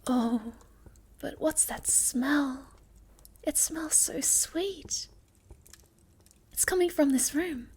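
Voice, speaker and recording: A woman voicing a shy, sweet, curious young moth girl in an ASMR roleplay, speaking softly and politely with a hesitant stammer. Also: high-pitched, extremely slow, pauses often, a little hiss or hum. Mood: curious wonder.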